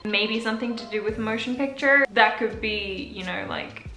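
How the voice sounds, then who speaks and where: A woman's voice: fairly high pitch, moderate pace, faint music behind. A young woman from Switzerland with an English accent that shifts between American and British, chatting upbeat through a vlog Q&A. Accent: mix of American and British English. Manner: chatty and upbeat, trails off with soft asides. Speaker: YouTuber answering viewer questions. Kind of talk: casual Q&A vlog. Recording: echoey room.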